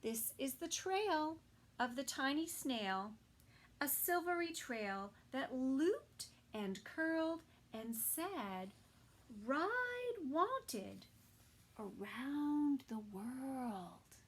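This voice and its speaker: Very slow, animated woman reading to toddlers. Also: high-pitched. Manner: slow, sing-song phrasing with soft asides to children. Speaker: children's story time reader. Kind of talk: expressive picture-book read-aloud for toddlers.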